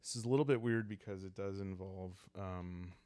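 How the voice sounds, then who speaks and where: A man calmly narrating a solo role-playing game in a hushed, relaxing way, musing aloud as he goes. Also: low voice, normal speed, clear, resonant tone, dry recording.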